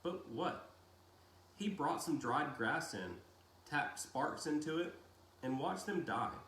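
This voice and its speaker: A man reading a novel aloud in a deliberate storytelling style with dramatic pauses. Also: on the high side, sing-song, a bit bright. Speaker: reader of a children's novel read-aloud. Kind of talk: audiobook-style narration with brief casual asides. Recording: roomy echo, faint background noise.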